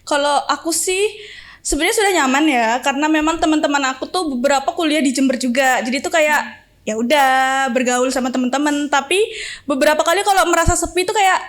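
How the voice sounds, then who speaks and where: A young woman from Bali, a guest on a student podcast, telling stories in a giggly, animated way. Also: sits high, a little fast. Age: young adult. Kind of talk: casual chat.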